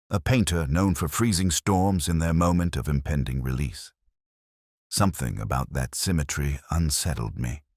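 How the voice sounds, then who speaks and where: A man reading a scripted first-person mystery story in a measured, literary and brooding manner. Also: a bass voice, breathy edge, dry recording, studio quality. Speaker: narrator.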